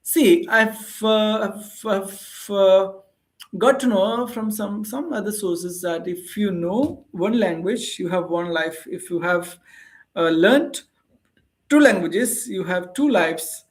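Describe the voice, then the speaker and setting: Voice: medium pitch, slow pace. A tutor who is a native Telugu speaker with an Indian English accent, explaining things earnestly in casual conversation practice and often rephrasing points. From Andhra Pradesh, India.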